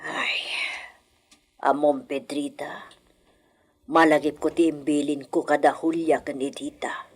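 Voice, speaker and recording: An actress playing a brooding, secretive elderly wealthy matriarch with a husky voice, in radio drama style. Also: female voice, low voice, flat delivery, a little slow, pauses often. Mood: secretive, grave. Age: older.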